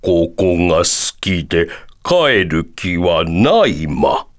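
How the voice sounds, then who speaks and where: A man voicing a wise, proud elderly bear-like sage in a video game, measured and calm, ending sentences with a quirky verbal tic. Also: low-pitched, exaggerated voice. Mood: courteous. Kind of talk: video game character voice acting.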